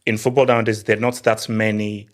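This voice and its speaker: A man's voice: on the low side, flat delivery, fast talker. A man hosting a casual football discussion, moderating the panel and often repeating words and phrases for emphasis. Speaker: host of a football discussion show.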